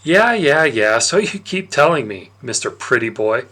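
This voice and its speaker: A man's voice: medium pitch, big pitch swings, moderate pace, sharp tone. A man voicing an impulsive young village boy with a sarcastic, dismissive manner in a storybook reading. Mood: exasperated sarcasm.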